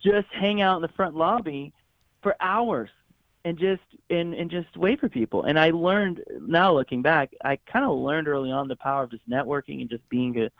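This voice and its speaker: A man from Florida with a slightly high, gravelly voice, telling his story in a loose, rambling way with frequent restarts and laughs. The sound is dry and thin, like a phone line. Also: normal speed, dry recording. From Florida, USA. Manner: rambling, self-correcting, laughs easily. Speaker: guest songwriter and producer. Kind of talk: casual interview chat.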